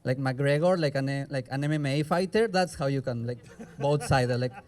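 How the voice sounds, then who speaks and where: A man's voice: medium pitch, normal speed, darkish tone. A young man from Peru with a Peruvian Spanish accent, a native Spanish speaker, talking casually and rambling at an outdoor rally. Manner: casual, rambling, many "like" fillers.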